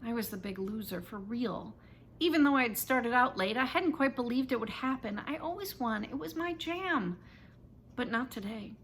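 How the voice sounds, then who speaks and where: A woman reading a children's novel aloud as its author, in an animated, dramatic first-person storytelling style. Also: on the high side, normal speed, slight background noise.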